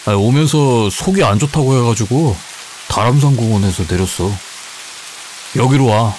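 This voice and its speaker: A man's voice: mid-range voice, moderate pace, background noise, pitched above the performer's own voice, lightly acted. A male narrator voicing a stranger who poses as a friend and talks in a casual, offhand way. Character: stranger posing as a friend, luring a woman.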